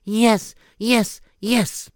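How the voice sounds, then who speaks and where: A man's voice: high voice, fairly even intonation, drawn-out words, dry recording, pitched above the performer's own voice. Guy doing a young woman escaping, earnest and prayerful, dead dry mic. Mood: eager.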